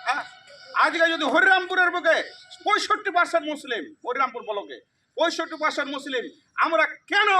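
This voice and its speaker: A man with an extremely high, piercingly bright voice delivers a political harangue. He is emphatic and argumentative, constantly throwing out rhetorical questions. He is picked up from a distance with faint noise around him. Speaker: local political party activist. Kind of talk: impassioned political talk.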